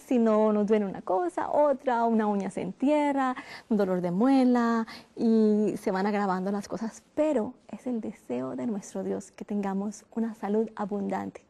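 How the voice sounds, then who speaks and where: Warm, earnest TV host woman. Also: on the high side, vocal fry. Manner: gentle, earnest, drawn-out emphasis. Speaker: TV health show host. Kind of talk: hosting, interviewing.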